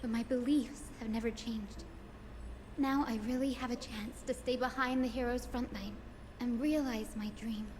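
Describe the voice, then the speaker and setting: Actress as high-voiced gentle young healer heroine. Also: female voice, fairly even intonation, moderate pace, background noise, natural voice. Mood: sincere, determined. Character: gentle young woman healer, earnest, hopeful, fantasy heroine. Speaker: video game character. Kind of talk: scripted game voice acting. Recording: long reverb under loud game music.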